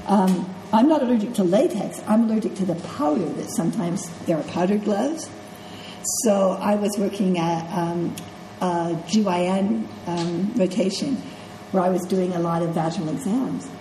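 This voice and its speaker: A woman's voice: mid-range voice, normal speed, dark tone, a little hiss or hum. Congested woman lecturing in an echoey classroom. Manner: conversational, checks in with "okay?" and "right?". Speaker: nursing instructor. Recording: echoey classroom with faint ambience.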